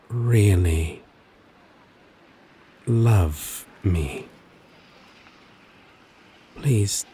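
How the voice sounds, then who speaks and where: A man's voice: low-pitched, warm tone, a little hiss or hum, exaggerated voice. A man playing a flirtatious, possessive demon lover in an intimate, teasing ASMR roleplay. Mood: vulnerable, pleading. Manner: slow, teasing, chuckling drawl.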